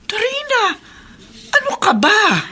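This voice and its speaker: A woman's voice: extremely high pitch, huge pitch swings, slow, sharp tone, exaggerated voice. An actress with a Filipino accent playing a concerned, outspoken woman friend in a radio drama, insistent and exclamatory. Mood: alarmed, insistent. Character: concerned, outspoken female friend urging mercy.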